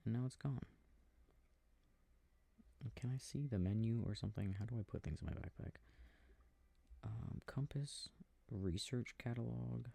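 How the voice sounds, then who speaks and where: A man playing an affectionate boyfriend in an intimate ASMR sleep-aid roleplay, murmuring and rambling softly with a breathy voice, close to the microphone. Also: medium pitch, unhurried, long pauses, no room sound. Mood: absorbed, mildly puzzled. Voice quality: soft, husky, breathy. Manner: murmured, rambling, gently bemused.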